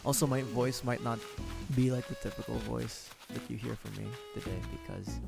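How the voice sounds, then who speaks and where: Hoarse gravelly male streamer. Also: mid-range voice, steady tone, moderate pace. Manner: rambling, joking, laughs often. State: hoarse, sore throat. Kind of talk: casual gameplay commentary. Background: game music.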